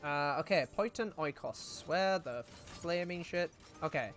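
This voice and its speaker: A woman's voice: sits low, animated, measured pace, faint music behind. A British woman streaming a game live, chatting casually, swearing and reacting to her chat. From United Kingdom.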